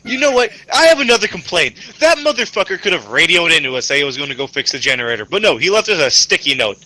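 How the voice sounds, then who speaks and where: A man's voice: fairly high pitch, big pitch swings, fast talker, bright, forceful tone, low-quality recording. A man joking as a tabletop game player, full of mock-indignant complaints. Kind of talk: casual joking banter during roleplay game.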